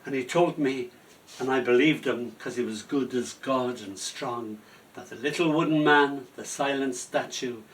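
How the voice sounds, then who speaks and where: A man performing spoken word poetry, telling stories between poems in a slow, lyrical delivery that drops to soft whispers. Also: medium pitch. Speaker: poet performing his poems. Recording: voice from a distance off the mic.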